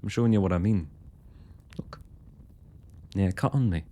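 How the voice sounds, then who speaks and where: A man's voice: deep voice, moderate pace, mellow. A man playing a young royal blacksmith in an intimate fantasy roleplay, speaking to the listener in courtly, hesitant, old-fashioned phrasing. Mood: frank, teasing. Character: young male royal blacksmith, smitten, forthright, proud working man.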